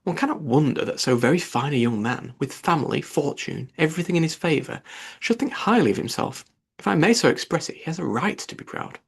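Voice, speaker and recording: Casting: male narrator as a composed young woman of a classic novel, reasonable, lively intonation, husky. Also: on the high side, brisk, full character voice. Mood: reasoning. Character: sensible, composed young gentlewoman of twenty-seven. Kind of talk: audiobook character dialogue.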